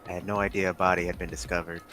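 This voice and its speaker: A man playing a composed, low-voiced girl detective. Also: flat delivery, bright, forceful tone. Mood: composed, guarded. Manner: measured, matter-of-fact. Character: cool, composed, secretive teenage girl detective. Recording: music behind the voice.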